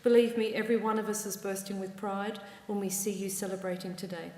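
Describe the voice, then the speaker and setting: A woman with an Australian accent giving a formal address to graduates at a ceremony, measured with wry asides. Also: medium pitch, steady tone, warm tone. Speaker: university ceremony presider. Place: large ceremony hall. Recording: echoey hall reverb.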